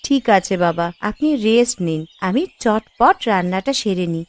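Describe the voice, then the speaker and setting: A woman's voice: mid-range voice, expressive, measured pace, natural voice. A woman voicing a caring, practical young daughter-in-law in cartoon dialogue. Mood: caring, reassuring. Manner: practical, reassuring.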